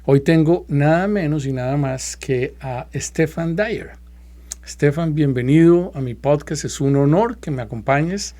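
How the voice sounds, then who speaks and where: Mellow-voiced male podcast host. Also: on the high side, measured pace. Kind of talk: casual podcast hosting.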